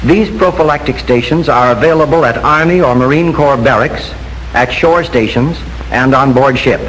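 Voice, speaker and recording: An American man reads a scripted 1940s instructional film narration in a formal, measured, instructive manner. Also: mid-range voice, fairly bright tone. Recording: noisy 1940s film soundtrack with hiss.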